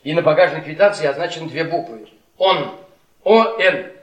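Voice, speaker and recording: An actor playing a bitter middle-aged husband who tells cruel, witty stories with theatrical mockery in a Russian radio drama. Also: male voice, fairly high pitch, animated, normal speed, lightly acted. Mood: mocking storytelling. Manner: theatrical, sardonic, taunting. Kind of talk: Russian stage-style radio drama acting. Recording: echoey theatre, distant mic, audience noise.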